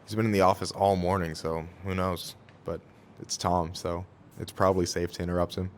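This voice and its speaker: An actor playing a laconic, deadpan store worker in a naturalistic video game drama. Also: male voice, deep voice, brisk, slight background noise, close to an everyday voice. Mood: dry, casual. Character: laconic, deadpan male store worker, casual and mildly grumbling.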